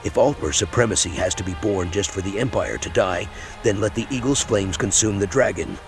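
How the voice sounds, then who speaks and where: A man playing a cold, haughty, distrustful elven supremacist mage in a fantasy game roleplay, speaking deliberately. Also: fairly low pitch, close to an everyday voice. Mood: cold, vengeful. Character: cold, vengeful elven supremacist mage who hates the Empire. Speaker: roleplaying player character. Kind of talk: scripted fantasy roleplay narration and dialogue. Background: background music.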